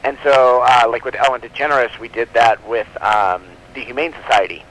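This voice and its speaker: A man's voice: mid-range voice, normal speed, fairly bright tone, low-quality recording. A man speaking as an interview guest in a casual, rambling and giggly way. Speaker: comic book publisher, interview guest.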